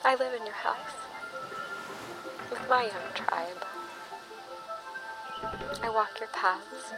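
Weary young mother, slow and hushed. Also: medium pitch, low-quality recording. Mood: weary, awed. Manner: hushed, deliberate. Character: weary young mother awed by her pioneer ancestor. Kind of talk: poetic radio play monologue. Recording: clear music under the voice.

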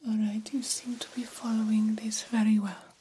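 A woman playing a formal, caring vampire doctor in an ASMR roleplay, whispering softly and reassuringly. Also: a little high, flat delivery, normal speed, slight background noise, natural voice, poor audio. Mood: calm, instructive. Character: formal, caring vampire doctor examining a young bat fledgling.

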